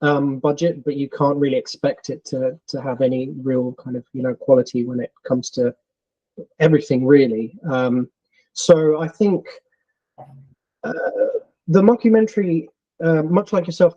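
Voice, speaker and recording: A man's voice: mid-range voice, normal speed, warm tone. Hesitant London-accented man on a dull call. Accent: London English. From England. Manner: hesitant, many ums and restarts. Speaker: filmmaker guest. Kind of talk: casual interview answers.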